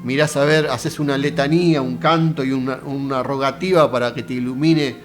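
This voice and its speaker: A man's voice: medium pitch, steady tone, moderate pace, faint music behind. Argentine man lecturing on philosophy. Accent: Argentine Spanish. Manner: conversational, addresses viewer directly with rhetorical questions. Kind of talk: casual lecture.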